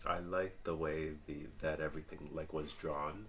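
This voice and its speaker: A man's voice: extremely low pitch, level tone, moderate pace, fairly bright tone, slight background noise. A man giving his opinions as a co-reviewer in a casual chat, in short, hesitant answers.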